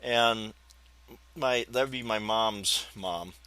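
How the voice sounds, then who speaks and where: A man's voice: on the low side, extremely slow, bright, forceful tone, clear, resonant tone, dry recording. An American man around fifty with a slight whistling lisp, telling personal memories in a folksy, rambling, hesitant way as a podcast host. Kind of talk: reminiscing personal storytelling.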